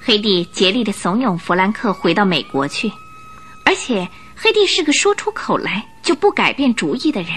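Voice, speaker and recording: A woman narrating a scripted Chinese radio drama in an expressive storytelling manner. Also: medium pitch, moderate pace, a little hiss or hum.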